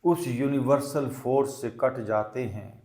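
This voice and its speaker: Slow-speaking man guiding meditation, echoey sound. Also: medium pitch, darkish tone. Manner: deliberate short phrases with long pauses; mixes English words into Hindi. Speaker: yoga and meditation teacher. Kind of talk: guided meditation instruction. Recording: echoey, reverberant room sound.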